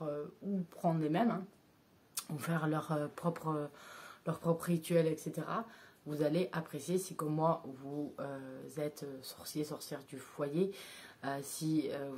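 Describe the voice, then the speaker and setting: Woman vlogger, thirties, lowish voice, halting and full of fillers. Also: darkish tone. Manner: hesitant, many filler sounds and restarts. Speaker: vlogger reviewing books. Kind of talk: casual chat to camera.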